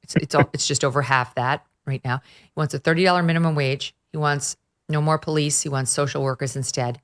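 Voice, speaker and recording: A woman hosts a political talk show in a lowish voice. She is sarcastic and emphatic, and hits her points hard. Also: fairly even intonation, brisk. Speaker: host. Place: at the beach.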